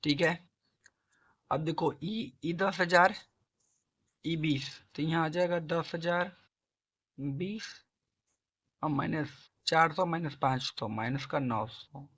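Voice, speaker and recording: A man works through math problems step by step. His throat is hoarse and sore, and he keeps prompting the class with questions he answers himself. The recording sounds dull and lo-fi. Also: on the high side, takes it slowly, long pauses, a bit bright. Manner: coaxing, asks and answers own questions, clears throat. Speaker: math tutor. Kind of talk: classroom-style math lesson.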